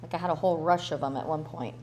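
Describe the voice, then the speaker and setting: A woman's voice: low voice, steady tone, very fast, a little mellow, slight background noise. Chatty woman hosting a live Q&A. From born in Russia, grew up in the United States. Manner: chatty, loose, thinks aloud with fillers and restarts. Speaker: relocation consultant hosting a livestream Q&A. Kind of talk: casual live Q&A, reading comments aloud.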